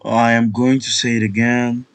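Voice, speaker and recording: A man's voice: mid-range voice, little pitch movement, normal speed, clean tone. A man giving casual, off-the-cuff gameplay commentary as a let's player.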